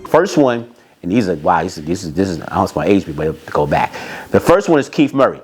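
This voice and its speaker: A man's voice: sits low, big pitch swings, brisk, over music. A middle-aged American man telling stories from his career in a casual, emphatic way, repeating phrases for emphasis. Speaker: host, former record label A&R representative. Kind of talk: casual autobiographical storytelling.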